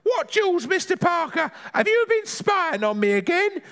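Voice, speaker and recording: Shrill, feisty old granny. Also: male voice, sing-song, normal speed, a bit bright, exaggerated voice. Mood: mock outrage. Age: older. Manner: exclamatory, mock-outraged. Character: feisty, mischievous old granny, indignant and theatrical.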